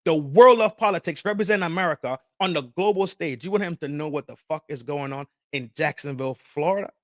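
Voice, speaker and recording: A man's voice: fairly high pitch, a little fast, no room sound, clean studio sound. A man hosting a podcast, ranting casually about politics with heavy swearing, slang and repeated phrases. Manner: profane, emphatic, repetitive, street slang. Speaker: podcast host. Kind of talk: casual opinion rant.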